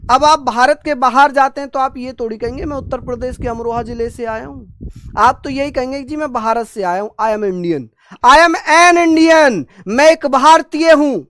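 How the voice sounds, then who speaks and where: A woman's voice: sits high, moderate pace, a bit bright. A woman teaching an exam coaching class. She repeats key terms, asks the students questions and answers for them, and mixes in English terms. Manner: acts out students' answers in call and response. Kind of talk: online classroom lecture.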